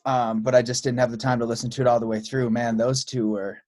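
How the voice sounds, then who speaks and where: Casual male podcast host, mid-pitched. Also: level tone, fast talker, a little mellow. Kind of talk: casual conversational interview.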